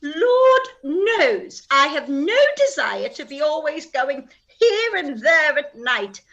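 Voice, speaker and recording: A woman's voice: sits high, very animated, takes it slowly, a bit bright, lo-fi sound, heavily acted. A woman playing a fretful, self-pitying middle-aged mother in a period radio play, complaining and exclaiming melodramatically. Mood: fussing complaint.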